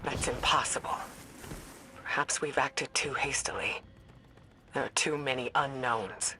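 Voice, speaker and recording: A cautious female commander for a video game. Her voice is bright and clear-edged, and she speaks with grave, measured care. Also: a bass voice, exaggerated voice. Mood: worried, cautious. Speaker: commander advising over comms.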